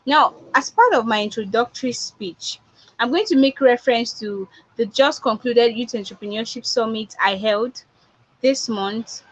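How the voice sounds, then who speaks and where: A woman's voice: on the high side, normal speed. A woman with a Nigerian accent, a public speaking coach giving a motivational online talk and repeating her points for emphasis. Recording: dull lo-fi online audio.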